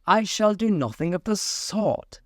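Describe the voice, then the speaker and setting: A man voicing a touchy, melancholy mouse with a severe, sharp manner in a storybook reading. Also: high-pitched, lively intonation, a little slow, dry recording, clean studio sound, voice pushed up. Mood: offended. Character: touchy, easily offended, melancholy male mouse.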